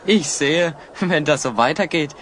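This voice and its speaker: An actor playing a good-natured, wry adventurer hero in a German audio drama, friendly and teasing. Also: male voice, on the high side, unhurried, a bit bright, slight background noise.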